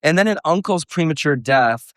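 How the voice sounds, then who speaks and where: A man's voice: fairly high pitch, expressive, normal speed, a bit bright, no room sound, clean studio sound. A man speaking at a homeschool workshop, lecturing parents in an earnest, reflective way and weaving in quotes and asides. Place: live workshop room.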